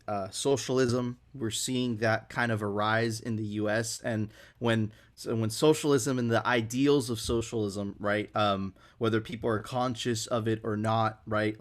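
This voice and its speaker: Man from Venezuela talking in a podcast. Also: on the low side. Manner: repeats words as he restarts sentences, often tags on "right?". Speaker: podcast co-host. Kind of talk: casual podcast discussion.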